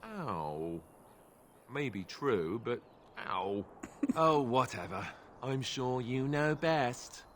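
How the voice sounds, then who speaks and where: An actor playing a sardonic, grumbling young companion adventurer in a video game, wry and incredulous. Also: male voice, mid-range voice, expressive, extremely slow, a little hiss or hum. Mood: wounded, sarcastic. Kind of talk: video game character dialogue.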